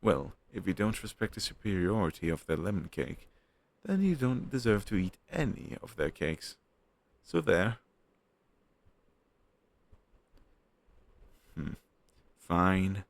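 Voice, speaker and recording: A man acting as a playful, teasing young best friend in a casual, expressive roleplay chatting directly to the listener. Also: deep voice, a little slow, gravelly, full character voice. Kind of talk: roleplay audio drama, intimate casual chat with listener.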